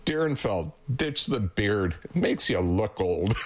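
A man's voice: fairly low pitch, animated, measured pace, slight background noise. A man of seventy, a therapist and social worker, chatting on a radio show and telling stories with plenty of chuckles. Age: 70s. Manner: chuckling storyteller, teasing. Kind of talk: casual radio chat.

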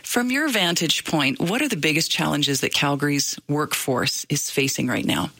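A woman hosting a radio interview in the studio, reading a measured introduction and asking questions. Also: low voice, gravelly, fairly bright tone, slightly rough, no room sound. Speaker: radio host.

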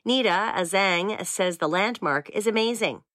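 A woman reading a scripted newscast in an even, measured way. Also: mid-range voice, noisy background. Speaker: newsreader.

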